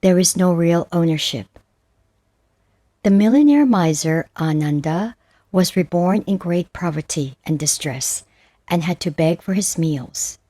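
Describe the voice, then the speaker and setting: A woman reading scripted spiritual stories and verses as a narrator, in a measured, solemn manner. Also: on the low side, animated, dark tone, no room sound, clean studio sound. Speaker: audiobook narrator.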